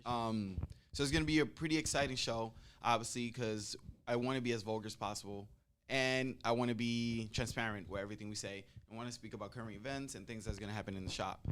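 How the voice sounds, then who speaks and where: A man's voice: medium pitch, fairly even intonation, quick pace, fairly bright tone, a little hiss or hum. A young man hosting a podcast in a tattoo shop, chatting loosely and swearing freely, holding a handheld mic. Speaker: podcast host, tattoo artist. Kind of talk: casual chat.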